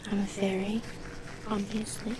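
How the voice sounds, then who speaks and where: Woman playing a slow, whispering sinister dark fairy. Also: mid-range voice, flat delivery, noisy background, close to an everyday voice. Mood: menacing, haughty. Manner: slow, dismissive, sardonic whispering. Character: haughty, sinister female dark fairy healer. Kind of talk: whispered ASMR roleplay.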